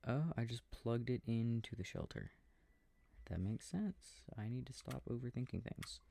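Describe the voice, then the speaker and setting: A man playing an affectionate boyfriend in an intimate ASMR sleep-aid roleplay, murmuring and rambling softly with a breathy voice, close to the microphone. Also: mid-range voice, animated, moderate pace, dry recording. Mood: absorbed, mildly puzzled. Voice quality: soft, husky, breathy. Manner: murmured, rambling, gently bemused.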